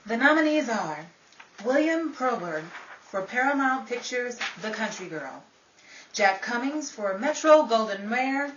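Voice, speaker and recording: A woman reads out award nominees formally in a highish, bright voice. She is in an echoing room, a bit off mic, on a slightly dull recording with faint hiss. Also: far from the mic. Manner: formal list-reading delivery. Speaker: award presenter. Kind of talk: scripted reading of nominees.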